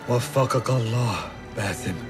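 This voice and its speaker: An actor playing a mortally wounded warrior in a video game, halting and solemn, loyal and resigned to his fate. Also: mid-range voice, over music, lightly acted. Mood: solemn, pleading. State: weak, bleeding to death. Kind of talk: dramatic video game acting.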